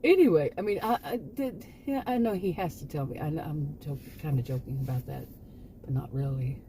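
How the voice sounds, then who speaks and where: A woman in her late fifties talks to camera in a rambling, exasperated way, circling back through asides and restarts. Her voice sits a little low and sounds mellow. A faint hiss runs beneath it. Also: brisk. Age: 50s. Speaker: vlogger. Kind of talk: casual chat to camera.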